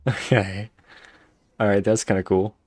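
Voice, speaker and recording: A man's voice: on the low side, level tone, unhurried, darkish tone, slight background noise. A man giving casual, laid-back, slangy commentary as he plays a video game. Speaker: gamer, video host.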